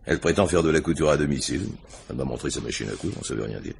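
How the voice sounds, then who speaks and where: A low, husky male voice plays a deferential, chatty police inspector. He reports quickly, with informal hesitations and wry asides, in a radio crime drama. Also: expressive, exaggerated voice. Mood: animated, amused.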